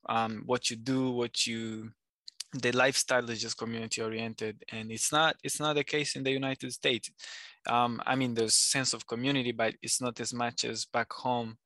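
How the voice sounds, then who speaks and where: Guest, male, bright and forward, dry close sound, reflective with frequent pauses. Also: medium pitch, level tone, no echo. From Democratic Republic of Congo. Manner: thoughtful, pauses often with ums. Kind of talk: casual interview answers.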